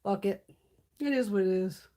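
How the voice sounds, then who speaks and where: A woman's voice: mid-range voice, normal speed, warm tone. A middle-aged woman from Connecticut hosting a beauty live stream, telling stories in a casual chat, swearing freely and laughing often, her voice starting to wear out. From Connecticut, USA.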